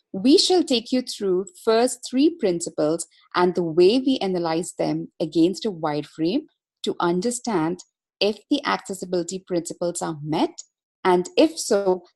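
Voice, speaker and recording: A woman's voice: fairly low pitch, animated, measured pace, darkish tone. A woman presenting a webinar as a consultant, explaining material step by step in a formal, methodical way.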